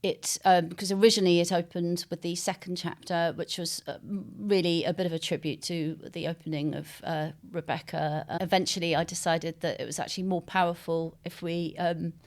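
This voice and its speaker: A woman novelist being interviewed, speaking conversationally and thoughtfully, often pausing to search for words. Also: fairly low pitch, little pitch movement, a little mellow.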